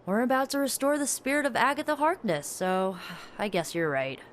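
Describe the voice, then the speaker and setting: An actress playing a blunt, teasing young heroine in a video game, talking casually. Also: female voice, a little high, sing-song, moderate pace, slight background noise. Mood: mock annoyed.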